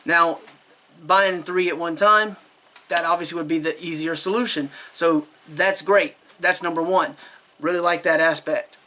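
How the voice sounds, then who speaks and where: A man with a fairly high, very bright and forward voice talks through a product review. He is chatty and candid, wandering a little as he explains things in an unscripted, casual way. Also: fairly even intonation, a little slow.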